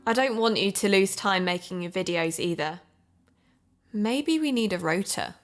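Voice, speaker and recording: A woman playing a keen, sociable amateur investigator and podcaster in an audio drama, talking in a measured, conversational way. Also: medium pitch, animated, soft background music, natural voice. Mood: chatty, keen.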